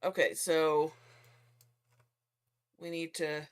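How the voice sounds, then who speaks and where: A woman's voice: low-pitched, flat delivery, extremely slow, clear, resonant tone. A woman playing a tabletop roleplaying game, speaking casually in character with a dry, decisive manner.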